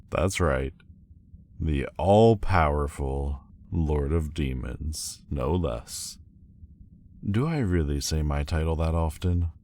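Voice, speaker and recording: A man playing a proud demon lord in a roleplay audio drama, speaking formally and haltingly, guarded but vulnerable underneath. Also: sits low, a little mellow. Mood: indignant, amused. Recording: faint background noise and ambience. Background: faint ambient sound effects.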